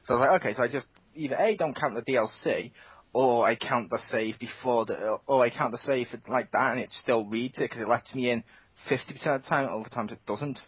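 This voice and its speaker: A man's voice: medium pitch, fast talker, slightly rough, no room sound. A man hosting a casual gaming podcast, joking and sarcastic. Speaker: podcast host. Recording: dull lo-fi sound.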